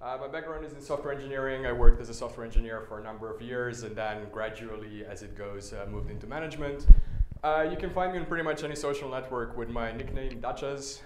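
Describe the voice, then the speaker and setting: Casting note: man from Serbia, tech meetup speaker, middle pitch, casual and wry, echoey room with faint noise. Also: fast. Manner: casual, many "uh" fillers, wry asides. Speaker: conference speaker. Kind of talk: conference talk with slides. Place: meetup stage, indoors.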